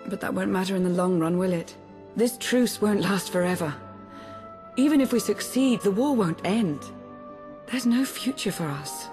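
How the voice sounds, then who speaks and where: An actress playing a composed, devoted agent of a dark empire in a video game, measured, earnest and restrained. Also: female voice, medium pitch, soft background music, lightly acted. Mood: resigned, sad.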